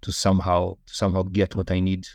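A man, a podcast guest, answering interview questions in a relaxed, storytelling way with frequent fillers. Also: sits low, fast, vocal fry, no room sound, clean studio sound.

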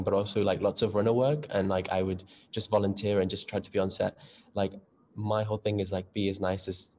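A young man from North West England, chatting casually in an interview in a camp, lively way, calling people darling and girl. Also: deep voice, a little hiss or hum. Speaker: actor, interview guest.

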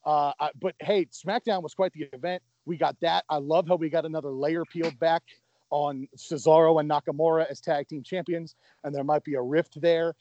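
Man, podcast co-host, enthusiastic, stammers and restarts, higher voice, dry close recording. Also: fairly even intonation, normal speed, darkish tone, no echo, dull audio. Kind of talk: casual wrestling chat.

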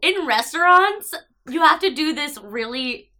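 A young woman co-hosting a casual podcast, telling animated stories and acting out quotes. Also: high voice, moderate pace. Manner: animated storyteller, acts out quotes loudly. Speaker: podcast co-host. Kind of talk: casual chat.

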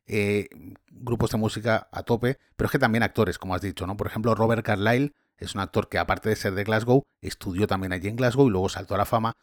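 A man hosting a podcast conversation, relaxed and chatty, often adding tag questions. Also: on the low side, fast, no room sound, studio quality. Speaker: podcast host and tour guide. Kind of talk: casual conversation.

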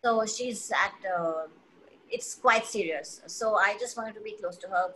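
A woman's voice: mid-range voice, measured pace, a bit bright, a little hiss or hum, poor audio. A woman, speaking candidly and earnestly as a guest in a personal conversation. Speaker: interview guest.

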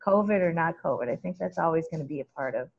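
A talkative woman with a loud voice, chatting as an interview guest and laughing often as she talks. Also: deep voice, animated, fast, vocal fry, breathy edge, muffled recording. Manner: chatty and laughing, with many restarts. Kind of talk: casual conversational interview.

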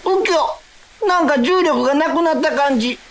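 A woman's voice: high voice, moderate pace, close to an everyday voice. A woman voicing a playful, childlike talking monkey in a radio drama, peppering speech with little monkey squeals. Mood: playful, puzzled. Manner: monkey squeals as verbal tics. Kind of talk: cartoonish radio drama acting. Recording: faint music behind the voice.

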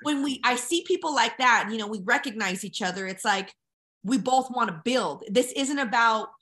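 A woman's voice: fairly high pitch, moderate pace, bright, forceful tone, slight background noise. An American woman from Colorado talking casually and with strong opinions as an interview guest, brash and slangy in her straight talk. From Colorado, United States. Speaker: podcast guest.

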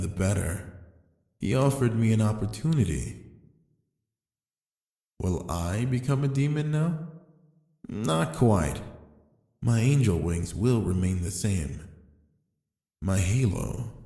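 A man playing a calm, sly, seductive fallen angel in an intimate ASMR roleplay, speaking slowly and deliberately to the listener. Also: sits low, full character voice. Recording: echoey, long reverb.

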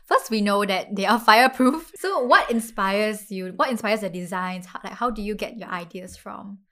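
A woman's voice: mid-range voice, moderate pace, sharp tone. A woman co-hosting a casual podcast, chatting and asking broad questions. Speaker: podcast co-host.

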